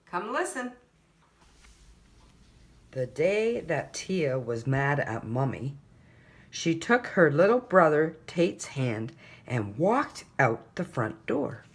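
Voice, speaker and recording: Story-time reader: woman, slightly low voice, hugely expressive pitch swings, deliberate picture-book narration. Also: breathy edge.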